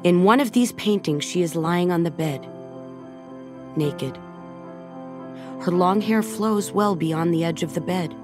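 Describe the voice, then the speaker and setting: Woman narrator, middle-aged, lowish voice, measured storybook reading, music underneath. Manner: gentle, measured storytelling with soft dips in volume. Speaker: audiobook narrator. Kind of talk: scripted storybook narration for children. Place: recording studio. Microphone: close studio microphone. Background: background music.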